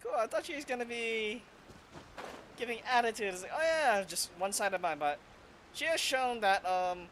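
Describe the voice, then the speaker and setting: A bright, sharp voice gives casual gameplay commentary on a home stream. The streamer is informal and reactive, speaking in halting phrases. There is faint noise in the background. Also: medium pitch, animated. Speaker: game streamer. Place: indoors, live game stream.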